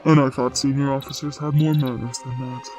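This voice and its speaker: A man voicing a proud, aloof dark warrior in a sci-fi comic dub, sardonic and sneering in his delivery. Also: mid-range voice, level tone, a little fast, faint music behind, close to an everyday voice. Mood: mocking, contemptuous. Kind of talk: dramatic voice acting in a comic dub.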